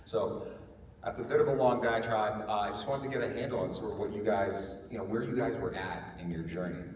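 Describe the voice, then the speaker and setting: A man's voice: on the low side, brisk, a little mellow. A man speaking on a conference panel in a lecturing way, often tagging his sentences with "right?". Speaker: panelist, company CEO. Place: large conference hall stage. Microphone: handheld mic. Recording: echoey hall, distant mic, faint noise.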